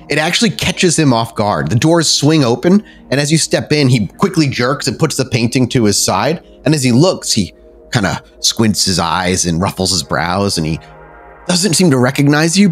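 A man's voice: medium pitch, breathy edge. A man improvising descriptive narration as the storyteller of a tabletop roleplaying game, in a casual, conversational way.

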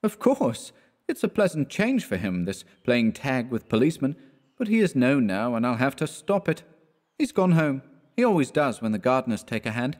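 A man's voice: mid-range voice, big pitch swings, moderate pace, darkish tone, pitched above the performer's own voice, lightly acted. A man voicing a breezy, flippant young male art student who is easily flustered, in an audiobook. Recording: echoey hall-like reverb.

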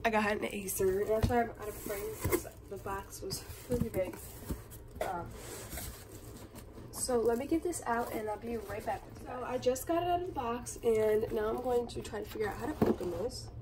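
A young woman, hoarse and raspy from being sick, chatting casually and apologetically while unboxing on a bedroom floor. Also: on the high side, moderate pace, far from the mic, background noise. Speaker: vlogger. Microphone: phone camera filming from above.

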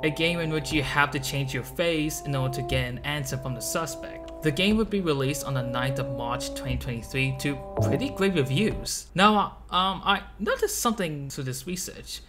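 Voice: medium pitch, expressive, moderate pace, few pauses. Casual video essay narrator over music. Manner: casual, joking, with playful exclamations. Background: music behind the voice.

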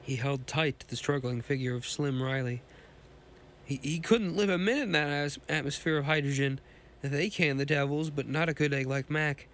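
A hoarse man with a cold, hosting a podcast and reading a pulp science fiction story aloud, often stumbling and restarting lines. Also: on the high side, steady tone, normal speed, fairly bright tone, slight background noise.

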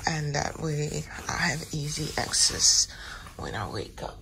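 A woman vlogging casually from a hotel room in the morning, her voice raspy and often dropping to a whisper. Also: sits low, expressive, measured pace, a little hiss or hum, poor audio. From Netherlands. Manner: soft, often whispering in the dark.

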